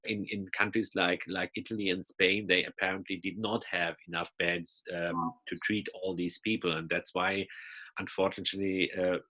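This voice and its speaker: A man's voice: deep voice, flat delivery, normal speed, sharp tone, rough recording. A man with a German accent, a guest speaking hesitantly over a video call, with many fillers and restarts. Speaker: interview guest.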